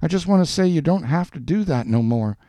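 A lonely working-class New man, as a narrator plays him in a short story. He talks in an earnest, coaxing, slangy way, pushing his pitch up and around. The recording is dry. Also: animated, brisk, mellow, no echo, lightly acted. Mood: defensive.

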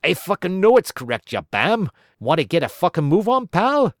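A man's voice: medium pitch, very animated, a little slow, vocal fry, fairly bright tone, no room sound, studio quality, voice pushed up, exaggerated voice. A man voicing a foul-mouthed, angry young Scottish lad who snarls his words. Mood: cocky. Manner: snarling, sweary.

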